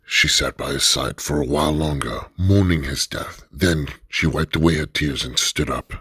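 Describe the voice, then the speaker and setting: A man narrating an adventure radio drama in a measured storytelling manner. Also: rumbling low, a bit bright, breathy edge, no echo, clean studio sound.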